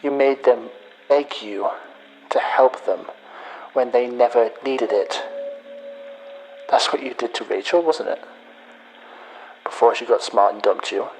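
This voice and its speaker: A man acts out a bitter, vengeful hacker in a sci-fi audio story. His delivery is slow and deliberate, full of weighted pauses and accusation, in a mid-pitched male voice with music playing underneath. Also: a little mellow, close to an everyday voice, poor audio. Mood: accusing, hurt. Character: bitter male hacker confessing revenge to his betrayed friend.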